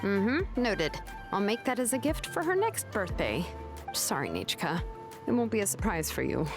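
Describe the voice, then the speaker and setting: Laid-back young crafter. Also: female voice, mid-range voice, moderate pace, music in the background. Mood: relaxed. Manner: relaxed, casual. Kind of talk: video game dialogue.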